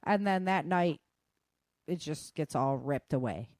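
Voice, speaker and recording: A woman's voice: deep voice, lively intonation, measured pace, darkish tone, no room sound. Casual woman podcast host, dry close sound. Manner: conversational, addressing listeners informally. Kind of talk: casual news roundup on disasters and weather.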